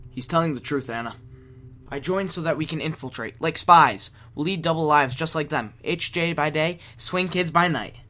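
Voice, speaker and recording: A man's voice: a little high, normal speed, pushed and bright. A man playing a loyal, cocky teenage rebel in an amateur audio drama. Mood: reassuring.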